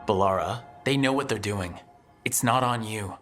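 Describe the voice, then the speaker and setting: A man voicing a calm, encouraging and plain-spoken leader in a video game. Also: lowish voice, moderate pace, soft background music, natural voice. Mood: reassuring. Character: steady, reassuring male hero and leader.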